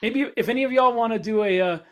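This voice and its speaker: A man's voice: very high voice, fast talker, a bit bright. A man co-hosting a podcast, chatting in a casual, joking, conversational way. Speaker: podcast co-host, fiction author. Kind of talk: casual panel chat.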